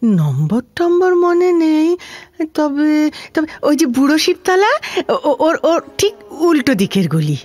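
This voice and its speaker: Plaintive old Kolkata housemaid, slow-spoken. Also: female voice, high-pitched, sing-song, darkish tone, dry recording, full character voice. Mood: curious, homely. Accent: Kolkata Bengali. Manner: plaintive, rambling, homely and colloquial. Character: frail, lonely old former housemaid, talkative and affectionate. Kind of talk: Bengali audio drama acting. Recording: music behind the voice.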